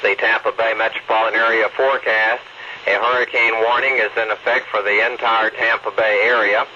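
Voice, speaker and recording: A man's voice: fairly low pitch, little pitch movement, a little fast, pushed and bright. A man reading a weather forecast as a radio announcer, in a formal, clipped manner. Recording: dull noisy radio broadcast recording.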